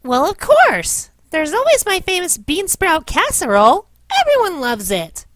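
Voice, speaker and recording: A wholesome, cheery cartoon girl, acted by a woman, speaks high and bubbly. She is proud and emphatic, with lots of lift in her voice. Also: huge pitch swings, unhurried, a bit bright, no room sound, clean studio sound, exaggerated voice. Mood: proud, cheerful. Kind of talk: scripted cartoon parody dub.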